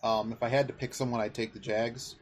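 A man's voice: mid-range voice, level tone, brisk. A man hosting a casual sports betting chat, talking in a rambling, analytical way as he thinks aloud. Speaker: podcast host.

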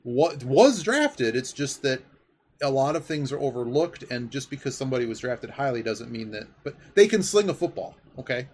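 A male podcast host talks sports in a casual, enthusiastic ramble. His voice sits at an average pitch for a man, with noticeable noise behind it. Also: darkish tone. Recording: clearly audible background noise.

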